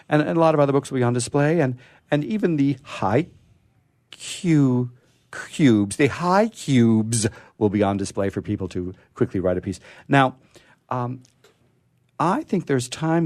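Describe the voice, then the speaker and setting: A man hosting a radio show in casual chat, rambling and halting as he goes. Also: mid-range voice, expressive, darkish tone.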